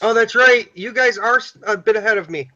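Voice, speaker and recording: A man's voice: high-pitched, a little fast, pushed and bright. A man with a Michigan accent shifting toward the Upper Peninsula, chatting casually on a livestream call. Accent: Michigan, turning Upper Peninsula. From downstate Michigan. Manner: chatty, repeats words. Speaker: livestream guest. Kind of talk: casual chat. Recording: dull lo-fi stream audio.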